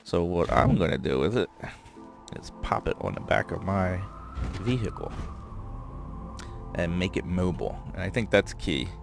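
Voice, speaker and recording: A man giving casual let's-play commentary, thinking aloud and rambling with fillers as he goes, his voice going a bit hoarse. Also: low voice, lively intonation, moderate pace, long pauses, over music. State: losing his voice. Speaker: gameplay commentator.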